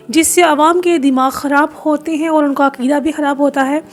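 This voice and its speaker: A woman hosting a reaction video, addressing viewers with courteous, devout greetings and formal phrasing. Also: high-pitched.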